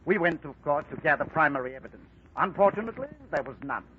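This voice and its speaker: A man's voice: on the high side, expressive, quick pace, close to an everyday voice. A man playing a stuffy police inspector. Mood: formal. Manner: formal and clipped. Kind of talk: 1940s radio acting. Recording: faint hiss, lo-fi old radio recording.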